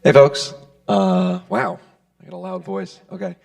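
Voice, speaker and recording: A man's voice: high-pitched, very animated, measured pace, vocal fry, a little mellow, no room sound. A man making a casual community announcement at a church service, with lots of "uh" and "um". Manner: casual, filler-heavy delivery. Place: church sanctuary. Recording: voice picked up from a distance, dry.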